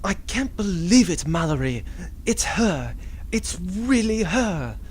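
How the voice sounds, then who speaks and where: Audio drama role: young noble rebel leader, played by a man, self-important, flustered and lovestruck, very lively intonation. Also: sits high, takes it slowly, slight background noise, exaggerated voice. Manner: pompous, flustered.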